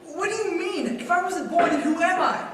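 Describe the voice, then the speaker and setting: A man acting a bewildered family man in a stage radio play, very high in pitch. He stammers and pleads with agitation. The sound is distant and heavily reverberant, with faint noise. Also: moderate pace, a bit bright. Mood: bewildered, agitated.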